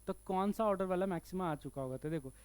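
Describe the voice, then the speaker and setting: A man with an Indian accent and a fairly high, smooth voice teaches physics in an explanatory lesson. He goes step by step and keeps checking in with the listener. The sound is close and dry. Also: quick pace, a little mellow, dry recording. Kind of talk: explanatory problem-solving lesson.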